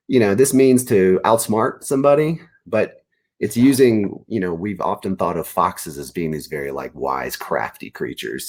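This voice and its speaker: A man, an author, reading aloud and explaining words informally to young listeners at a children's story time. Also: on the low side. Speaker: author reading own book.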